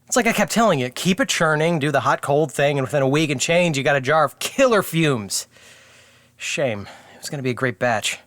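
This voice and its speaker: An actor playing an anxious, exclamatory menagerie worker who stammers, in an audio drama. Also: male voice, fairly high pitch, animated, fairly quick, fairly bright tone, no echo. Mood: wry. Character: frantic, anxious mayonnaise-brewing menagerie worker, prone to panicking.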